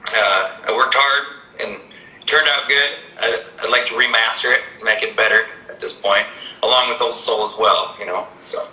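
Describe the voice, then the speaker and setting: A man's voice: mid-range voice, pushed and bright, reverberant, low-quality recording. A singer-songwriter in his thirties, raised in Iowa, answering interview questions on a video call in an easygoing way with many ums. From Colorado-born, raised in Iowa.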